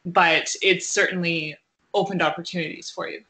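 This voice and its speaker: A woman's voice: on the low side, fairly even intonation, normal speed, sharp tone. A woman conducting a casual, conversational interview as the interviewer.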